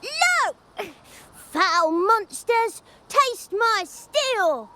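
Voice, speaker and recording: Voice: very high-pitched, big pitch swings, very slow, sharp tone, exaggerated voice. A performer voicing a small child who plays a bold knight in a video game, bombastic and declamatory. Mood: heroic. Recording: music behind the voice.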